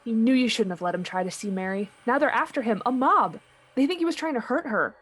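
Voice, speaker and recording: A woman's voice: a little high, expressive, brisk, fairly bright tone. A woman playing a stern, reproving senior angel in an old-time radio play. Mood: brisk, urgent. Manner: firm, reproving. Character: stern, brisk heavenly superior angel. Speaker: actor.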